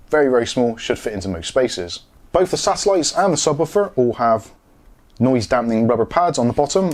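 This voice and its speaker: A man's voice: mid-range voice, darkish tone. A man explains a product in a plain, matter-of-fact way, as in a casual video review. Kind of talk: casual tech review narration. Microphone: lapel mic.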